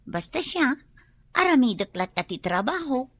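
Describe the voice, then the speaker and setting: A woman's voice: sits high, big pitch swings, normal speed, a bit bright, no echo, heavily acted. A woman playing a modest hardworking office employee. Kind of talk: radio comedy drama acting.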